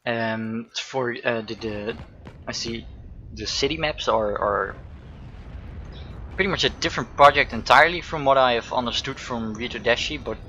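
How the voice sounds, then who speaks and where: A man's voice: medium pitch, moderate pace, pushed and bright, dull audio, a little hiss or hum. A man with a Dutch accent, a game developer at home answering stream chat questions while he plays. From Netherlands. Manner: answers chat questions between gameplay remarks. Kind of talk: casual stream Q&A while playing.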